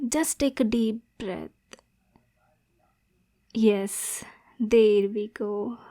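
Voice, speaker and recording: A woman's voice: fairly high pitch, mellow. A woman playing a lonely, anxious, lovesick demon girl in an ASMR roleplay, stammering and pleading with coaxing endearments.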